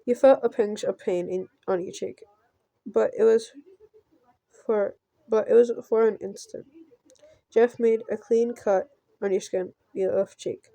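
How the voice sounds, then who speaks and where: A woman's voice: mid-range voice, fairly even intonation, gravelly, mellow, no echo. Woman with a dry, close sound reading aloud. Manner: halting, stumbling read-aloud with offhand reactions. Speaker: gameplay host reading a visual novel. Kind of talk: casual let's play with read-aloud narration.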